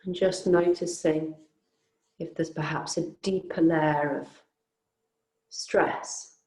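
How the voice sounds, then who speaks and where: Very slow-spoken woman guiding relaxation. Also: deep voice, fairly even intonation, echoey room. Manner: soothing, unhurried, gently inviting with long pauses. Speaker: yoga nidra teacher. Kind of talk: guided relaxation meditation.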